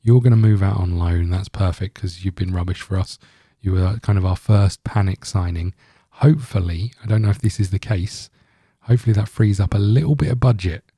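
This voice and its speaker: A man's voice: sits low, moderate pace, hardly any pauses, warm tone, husky, no room sound, clean studio sound. A man hosting a gaming video, giving casual let's-play commentary in a rambling, thinking-aloud way.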